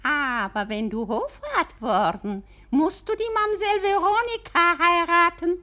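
A shrill, cackling old witch. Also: animated, unhurried, pushed and bright, dull audio, exaggerated voice. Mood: gloating. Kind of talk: radio play acting.

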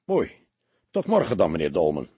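A man voicing a calm, wry, commanding older heavyset police detective in an audiobook, speaking tersely and with dry, polite firmness. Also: sits low, normal speed, slightly rough, dry recording, voice pushed down, exaggerated voice. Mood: polite, firm. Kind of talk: audiobook character dialogue.

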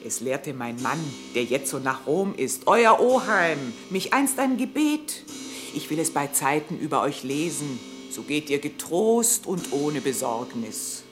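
A woman's voice: deep voice, sing-song, unhurried, over music. A motherly, scheming she-ape aunt. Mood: soothing. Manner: coaxing, conspiratorial, motherly. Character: caring older female ape, fussy and wise aunt. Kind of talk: radio play acting.

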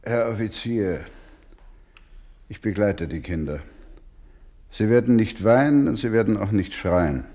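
A steadfast older doctor in a radio drama, his voice set low. He speaks with solemn, formal care, repeating phrases deliberately, gentle yet immovable. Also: expressive. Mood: solemn resolve. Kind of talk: German radio play acting.

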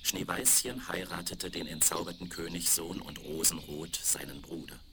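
A man's voice: deep voice, fairly even intonation, normal speed. A man reading a scripted fairy tale for children as the narrator of a radio play. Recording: crackly, very noisy 1970s vinyl record.

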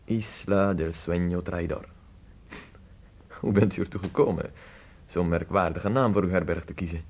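An actor playing an inquisitive, courteous Spanish traveller of nearly forty in a radio drama, speaking formally and wordily. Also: male voice, sits low, steady tone, normal speed, a little mellow. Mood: courteous, curious. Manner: formal, wordy, probing. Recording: noisy old radio play recording.

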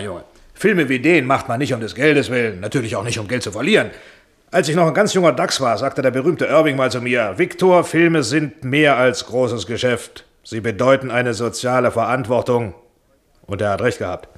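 A man's voice: mid-range voice, close to an everyday voice. Pompous film studio boss, acted by a man. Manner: pompous, patronizing, self-satisfied. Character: self-important, jovial middle-aged male film studio boss. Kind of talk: radio drama acting.

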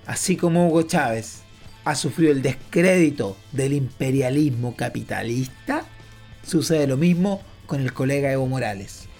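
A man's voice: mid-range voice, expressive, moderate pace, a little mellow, faint music behind. A Chilean man with a Chilean accent giving a pompous, boastful comic political rant, swearing freely. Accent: Chilean Spanish. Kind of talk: comic political character monologue.

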